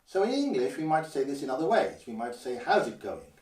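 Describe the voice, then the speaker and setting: An older man from Guernsey teaches greetings patiently, pausing to say each phrase slowly and clearly. His voice is mid-pitched and sits back from the mic. A roomy echo surrounds him. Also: very animated, darkish tone, recorded from a distance. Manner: measured, careful, slows down to model phrases. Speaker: language teacher. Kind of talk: instructional explanation.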